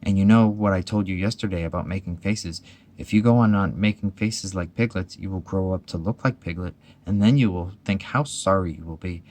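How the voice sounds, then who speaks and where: A man voicing a motherly storybook kangaroo with a brisk, soothing, gently severe manner. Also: sits low, close to an everyday voice. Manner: cheerful, firm, coaxing.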